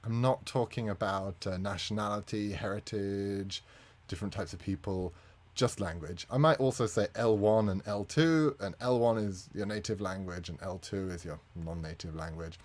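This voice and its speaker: A man's voice: on the low side, normal speed, a little mellow. A man, a native English speaker, presenting a conference talk as a community manager, friendly with frequent fillers.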